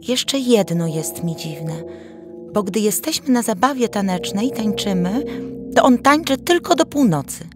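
A sweet young village maiden in a storybook, played by a woman storyteller, high and lilting. She is trusting and confides hesitantly, with expressive rises and falls. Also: unhurried, over music, natural voice. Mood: puzzled, confiding.

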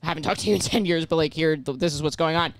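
A woman in her thirties with a deep voice, a comedian guest chatting casually on a podcast, brash and profane as she tells stories. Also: racing, vocal fry, no room sound. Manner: brash, profane, animated storytelling. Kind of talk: casual chat. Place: small living room podcast set. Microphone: handheld mic, headphones.

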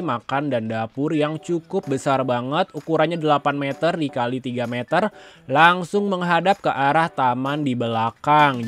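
Guy from around doing a chatty property walkthrough, middle pitch, music in the background. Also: fairly bright tone. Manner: informal, chatty, addresses viewers directly. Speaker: property tour host. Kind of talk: casual walkthrough narration of a house tour.

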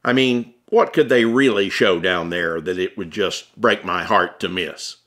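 A man's voice: low-pitched, unhurried, a bit bright. An American man reading his own memoir as an audiobook, in a wry, conversational storytelling manner. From Atlanta, United States. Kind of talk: scripted first-person narration, conversational memoir.

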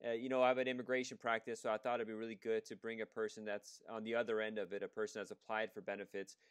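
A man's voice: on the low side, little pitch movement, quick pace, clean tone. A man hosting an interview podcast as an immigration attorney, talking casually with many fillers and restarts. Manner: conversational, with frequent fillers and restarts.

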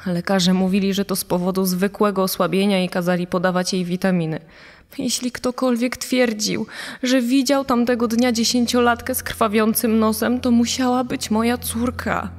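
A woman acting as a worried mother testifying in a true-crime audio drama. She speaks in a flat, level tone, with a long reverb around her voice. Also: fairly high pitch, normal speed, lightly acted. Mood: sombre, firm. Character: worried mother of a missing girl, giving testimony.

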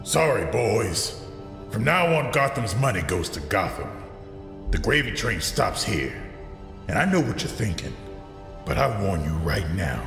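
An actor playing a bitter, menacing villain with a gravelly, forceful, theatrical delivery in an audio drama. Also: male voice, fairly low pitch, big pitch swings, unhurried, heavily acted. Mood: commanding. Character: bitter, menacing male villain, a scarred former prosecutor. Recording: reverberant hall, music underneath.

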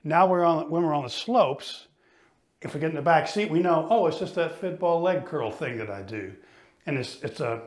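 A middle-aged man coaching ski fitness, explaining each exercise calmly while he demonstrates it in a studio. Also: on the high side, normal speed. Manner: patient, explanatory, talks while moving.

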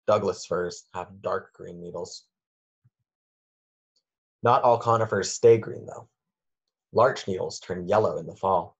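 Muffled, low-voiced man reading a picture book. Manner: lilting questions, simple clear phrasing for young children. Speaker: children's book reader. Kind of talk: read-aloud of a picture book, question and answer.

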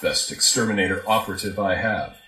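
An actor playing a ruthless, foul-mouthed spy agency director in an audio drama, curt, sardonic and commanding. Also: male voice, deep voice, brisk, echoey room, heavy background noise, close to an everyday voice. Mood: cold, businesslike. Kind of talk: modern audio drama acting.

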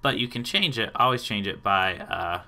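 A man in his thirties hosting a casual software tutorial. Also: fairly low pitch, expressive, moderate pace. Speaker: tutorial host.

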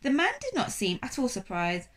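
A woman's voice: on the high side, wildly swooping pitch, moderate pace. A young woman reading a children's storybook aloud with expression, like a teacher to a class. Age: young adult.

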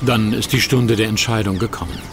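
A man narrating a radio play in measured, calm scripted storytelling. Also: fairly low pitch, noisy background.

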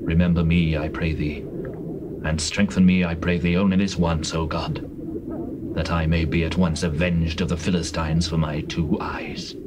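An actor playing a proud, doomed biblical warrior with solemn theatrical delivery in a dramatized scripture reading. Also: male voice, deep voice, little pitch movement, moderate pace, close to an everyday voice. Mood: weary pleading. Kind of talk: dramatized King James Bible reading. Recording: noisy old cassette recording.

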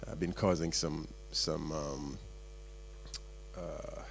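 A man hosting a small workshop, opening it with halting remarks full of fillers, in a meeting room. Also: very deep voice, fairly even intonation, creaky, slight background noise. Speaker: event host.

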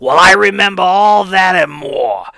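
A man acts out a fed-up landlord in a comedy audio drama. The voice is bright and gravelly, with slow, menacing delivery that scolds and gloats. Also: sits high, lively intonation, no echo, studio quality, full character voice. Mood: angry, vengeful. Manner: menacing, scolding, drawn-out emphasis. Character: vengeful, fed-up male landlord evicting tenants.

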